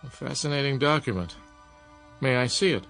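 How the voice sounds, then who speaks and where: Older academic for radio drama: mid-range voice, courteous, skeptical, quietly probing questions. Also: male voice, medium pitch, lively intonation, normal speed, creaky, faint music behind. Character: inquisitive, skeptical older male chemistry professor, courteous. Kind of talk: modern radio drama acting.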